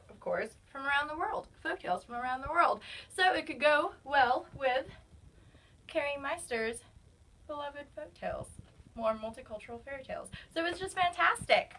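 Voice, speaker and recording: A woman's voice: on the high side, fairly bright tone, recorded from a distance, rough recording. A young woman recommending fairy tale books in a casual, enthusiastic, chatty way. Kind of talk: casual book recommendation video.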